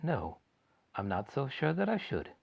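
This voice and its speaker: A tired, kindly father in a storybook reading, speaking softly and gently in a voice close to the reader's own, as a man puts him on. His pitch moves with some life, and the recording is dull and lo-fi. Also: wildly swooping pitch, vocal fry, slightly rough, heavily acted. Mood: gentle. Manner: soft, gentle, unhurried. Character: weary, gentle working father. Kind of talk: audiobook character voice.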